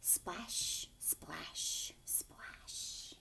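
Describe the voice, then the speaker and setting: A woman reading a picture book aloud to young children as a teacher, expressively, drawing words out and dropping into hushed asides with questions for her listeners. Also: extremely slow, whispered.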